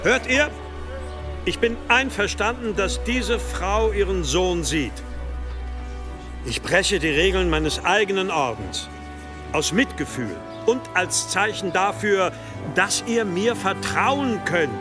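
An actor playing a solemn abbot in an audio drama, formal and preacherly, pleading for trust. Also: male voice, high-pitched, animated, slow, music in the background. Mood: pleading, earnest. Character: solemn monastery abbot preaching to hostile villagers.

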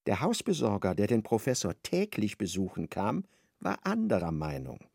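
A man narrating a literary radio play in measured, expressive storytelling. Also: medium pitch, creaky, no room sound, studio quality, exaggerated voice.

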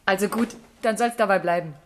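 An actress playing a lively, warm-hearted young country gentlewoman in a period radio drama, speaking in a spirited, frank way. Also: medium pitch, a little fast, close to an everyday voice. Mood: flustered, friendly. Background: music.

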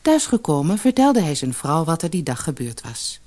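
A woman reading a children's fairy tale as a storyteller, in an expressive, measured narrating style. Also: deep voice. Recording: noisy old cassette audiobook.

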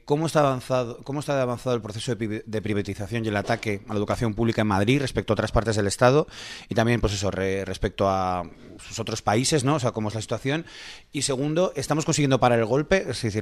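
A man with a Spanish accent from Spain, informally moderating a public debate and putting questions to the speakers. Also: mid-range voice.

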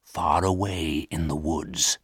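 A sad, weary old ghost from a storybook, acted by a man. The voice sits very deep and moves slowly, with a polite, mournful manner and deep loneliness. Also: steady tone, husky, no echo, clean studio sound, full character voice. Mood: wistful, hushed.

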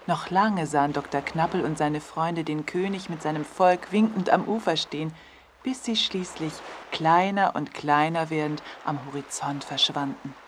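A woman narrating a scripted children's story expressively, often letting her phrases fall to a hush. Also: low voice, normal speed, slight background noise. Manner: expressive storytelling, dropping to hushed phrase endings.

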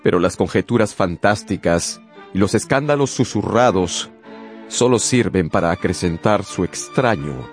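A man's voice: sits low, lively intonation, normal speed. A man narrating a literary radio drama in a scripted, dramatic storytelling style. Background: music.

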